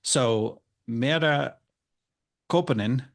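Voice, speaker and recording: A man hosting an education podcast, chatting casually and warmly with his guest, with plenty of fillers. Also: mid-range voice, very slow. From United States. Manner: friendly, chatty, with many fillers. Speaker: podcast host. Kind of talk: casual interview chat.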